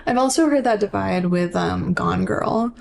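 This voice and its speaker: Young woman with creaky voice, thinking aloud. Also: medium pitch, moderate pace, slight background noise. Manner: informal and reflective, thinking aloud, frequent "like". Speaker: podcast co-host. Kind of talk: casual podcast discussion.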